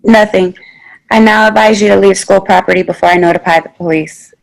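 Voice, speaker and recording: A woman acts a stern female schoolteacher at a table read. The voice has a marked fry and an authoritative, suspicious tone. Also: mid-range voice, fairly even intonation, brisk, lightly acted, rough recording. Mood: stern, defensive. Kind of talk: table read of a pilot script.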